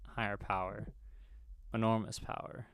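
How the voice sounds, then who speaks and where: A man's voice: fairly low pitch, little pitch movement, normal speed, very noisy. A man hosting gaming videos, reading a visual novel's script aloud as he plays.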